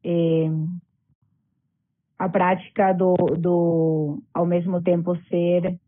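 A woman from Venezuela, a dancer, speaking slowly and thoughtfully on a video call, searching for her words. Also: fairly low pitch, level tone, no room sound. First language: Spanish. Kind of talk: video call panel talk. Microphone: laptop mic on video call, camera off. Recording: tinny compressed call audio.

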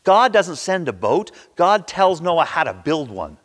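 A man in his sixties preaching a sermon with theatrical, emphatic delivery and dramatic pauses, recorded in a church. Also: on the high side, big pitch swings, fairly bright tone. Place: large church sanctuary, indoors at a pulpit. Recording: reverberant church hall.

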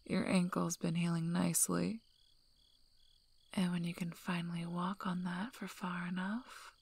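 Lady doing a gruff protective werewolf alpha for asmr, lowish whispery voice with lots of fry, dry n teasing. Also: full character voice. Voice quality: breathy, whispery, husky. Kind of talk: soft-spoken ASMR roleplay.

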